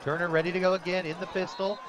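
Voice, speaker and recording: A man calling live football play-by-play on the radio in an energetic, excitable way. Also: high-pitched, fast talker. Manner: energetic, rises to shouts on big plays. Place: outdoor high school football stadium broadcast position. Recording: loud crowd and noisy outdoor stadium. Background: crowd noise.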